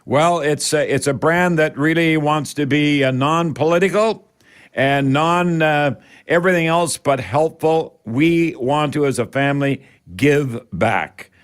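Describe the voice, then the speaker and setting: A man's voice: on the high side, unhurried, clear, resonant tone. Folksy older Canadian radio host man. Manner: rambling, emphatic, addresses listeners as folks. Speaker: radio host of a home improvement call-in show. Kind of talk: folksy talk radio hosting.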